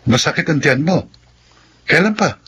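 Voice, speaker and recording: A man's voice: on the high side, expressive, moderate pace, pushed and bright, a little hiss or hum. A man with a Filipino accent playing a worried, grateful older brother and restaurant worker in a radio drama, earnest and anxious in manner. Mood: concerned.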